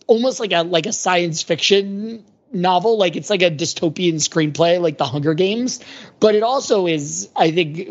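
A man talking casually as a podcast panelist about pop culture, in a wordy, rambling, analytical way. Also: a little high. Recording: dull lo-fi audio.

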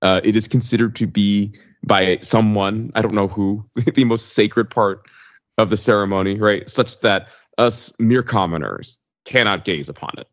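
A man's voice: fairly low pitch, normal speed. A man co-hosting a casual analytical chat, explaining and qualifying his points, on slightly dull audio. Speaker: podcast co-host.

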